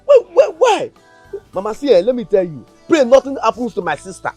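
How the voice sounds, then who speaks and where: A man's voice: high-pitched, expressive, fast talker, a little mellow. A young man with a Nigerian Pidgin accent, playing a hot-tempered village man who boasts and threatens to protect his sister. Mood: agitated. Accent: Nigerian Pidgin English. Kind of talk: Nollywood-style acting. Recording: music behind.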